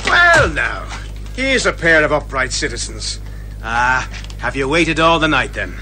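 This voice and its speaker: An actor playing a jovial, boastful, garrulous Irish police inspector in a radio detective comedy. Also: male voice, mid-range voice, measured pace, music in the background. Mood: breezy, boastful. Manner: garrulous, excitable.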